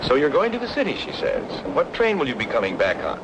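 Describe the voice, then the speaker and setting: An actor playing a charming, smooth-talking man with a playful, teasing, theatrical manner in an old radio noir drama. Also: mid-range voice, huge pitch swings, quick pace, background noise. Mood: teasing storytelling. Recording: vintage radio drama, music behind.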